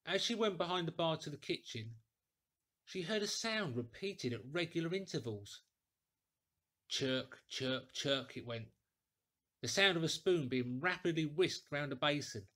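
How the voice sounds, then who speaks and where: A man reading a classic story aloud in a deliberate, theatrical, clearly articulated way. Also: a little high, animated, fairly bright tone. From England. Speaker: audiobook reader, actor. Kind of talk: dramatic scripted storytelling.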